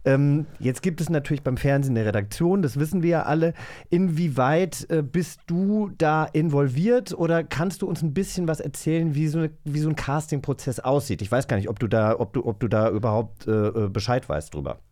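A male podcast host with a voice a little higher than average. He chats casually and informally with his guest. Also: fairly quick, warm tone. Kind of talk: casual chatty interview.

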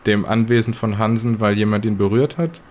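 Man as grave, low-voiced witness. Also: steady tone, darkish tone, lightly acted. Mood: grave, measured. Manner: formal, deliberate. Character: measured, grave male witness recounting eerie deaths. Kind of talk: in-character audio drama retelling.

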